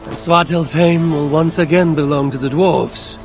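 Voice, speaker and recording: A man's voice: on the high side, fairly even intonation, moderate pace, music in the background, close to an everyday voice. A man playing a stern, proud Norse god and father in a video game, speaking formally and tersely. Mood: resolute. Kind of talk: video game cutscene acting.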